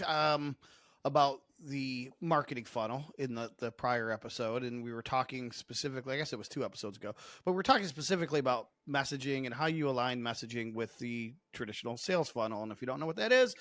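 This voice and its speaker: A man co-hosting a podcast, asking a guest questions in a relaxed way with frequent fillers. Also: medium pitch, no room sound, studio quality. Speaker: podcast co-host. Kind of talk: podcast interview.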